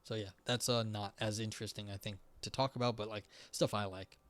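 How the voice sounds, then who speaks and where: A young man chatting casually on a live stream, rambling with many fillers and restarts, his voice starting to go hoarse. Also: on the low side, fairly even intonation, brisk, fairly bright tone, no echo. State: voice going hoarse.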